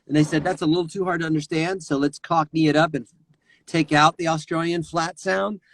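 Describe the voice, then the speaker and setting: A middle-aged man, a voice actor, telling lively anecdotes over a remote call and slipping into character voices. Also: on the high side, fairly quick, no room sound, rough recording. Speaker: voice actor guest. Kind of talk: animated anecdotal storytelling.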